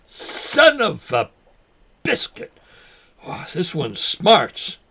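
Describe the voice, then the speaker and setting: Older male actor as a folksy, halting fisherman, slow pace, highly animated swings, naturalistic drama. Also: husky, full character voice. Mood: pained, exasperated. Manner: halting, folksy, mild exclamations. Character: forgetful old man, folksy, nervous, teasing lifelong fisherman. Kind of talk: naturalistic short film drama acting.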